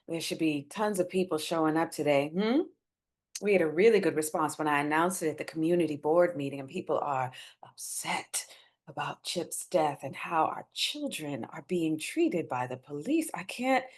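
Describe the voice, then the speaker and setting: A woman's voice: deep voice, lively intonation, normal speed. A woman playing a guarded, burdened wife and determined community organizer in a screenplay reading. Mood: determined, pained. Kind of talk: live staged screenplay reading.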